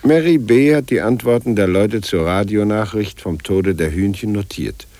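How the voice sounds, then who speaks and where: An actor as a plain, restrained reporting narrator in a 1950s radio drama. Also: male voice, lowish voice, normal speed, dark tone, no echo, lightly acted. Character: restrained male narrator reporting on a reading woman.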